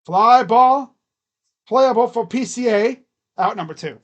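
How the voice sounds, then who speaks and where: Loud, booming baseball stream commentator. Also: measured pace, dull audio. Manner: relaxed, chatty, hums between pitches. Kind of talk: casual live play-by-play reaction.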